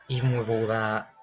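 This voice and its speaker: A man giving casual, expressive live reactions as a game streamer, in informal speech. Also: on the low side. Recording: makeshift livestream audio. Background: faint game music.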